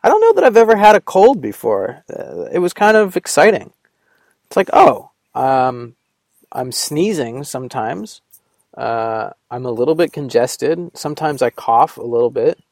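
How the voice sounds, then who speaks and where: A man's voice: mid-range voice, sing-song, moderate pace, darkish tone, no echo, studio quality. A man talking alone in a rambling, sarcastic way, full of fillers, just getting over a cold. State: recovering from a cold. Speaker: podcast host. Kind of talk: rambling solo monologue. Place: Vermont.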